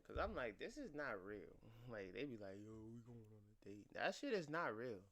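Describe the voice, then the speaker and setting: A man's voice: mid-range voice, big pitch swings, normal speed, fairly bright tone. A man hosting a reaction video, giving blunt, profane and incredulous casual commentary.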